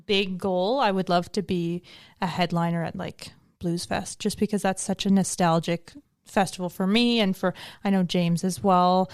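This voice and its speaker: A woman's voice: mid-range voice, darkish tone, clean tone, no echo, studio quality. A woman in her twenties, a band member answering interview questions in an earnest, thoughtful way. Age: 20s. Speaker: band musician guest.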